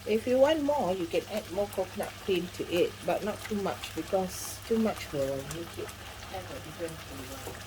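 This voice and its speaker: A middle-aged woman with a notably low voice walks viewers through a recipe in her home kitchen. She explains each step in a chatty, instructive way and adds friendly asides. A faint sizzle of frying food sits behind her. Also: expressive, normal speed, noisy background. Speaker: cooking channel host. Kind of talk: home cooking demonstration.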